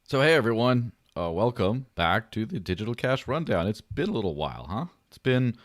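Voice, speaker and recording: A man's voice: mid-range voice, big pitch swings, a little fast, dry recording, studio quality. A man hosting a podcast in casual livestream chat, joking and rambling with a light, buttery voice. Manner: joking, rambling, self-deprecating. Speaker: podcast host.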